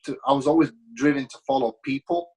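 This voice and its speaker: A man's voice: mid-range voice, fairly even intonation, fast talker, darkish tone, poor audio. A man around thirty from Latvia, with a Latvian accent tinged with British, chatting as a podcast guest in a friendly, rambling way with lots of fillers and restarts. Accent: Latvian with a slight British tinge. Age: about 30. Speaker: podcast guest, business owner. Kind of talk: casual interview chat.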